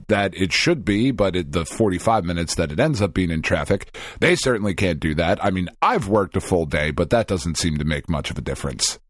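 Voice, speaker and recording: A man's voice: low voice, no room sound, studio quality. A man co-hosting a casual podcast, rambling and venting with lots of swearing and restarts. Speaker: podcast co-host.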